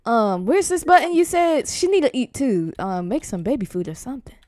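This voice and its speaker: A woman's voice: a little high, big pitch swings, fairly quick, a little mellow, no room sound. A woman in an improvised game roleplay, playing a flustered, bossy young woman in a dramatic, exclamatory way. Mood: exasperated. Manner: dramatic, exclamatory, repeats words. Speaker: player voicing a horror-comedy parody.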